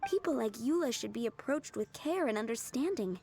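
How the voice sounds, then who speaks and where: Gentle young cook. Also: female voice, on the high side, animated, brisk, creaky, faint music behind. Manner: gentle, earnest. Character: kind young woman cook, gentle and earnest. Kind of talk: video game voice acting.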